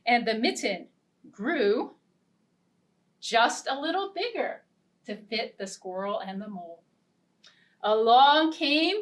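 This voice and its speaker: A woman librarian leading a children's storytime, singing simple repeated verses and reading a picture-book story in a gentle, expressive way. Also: high-pitched, distant mic. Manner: gentle, sing-song and expressive. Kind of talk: children's storytime with songs and ukulele.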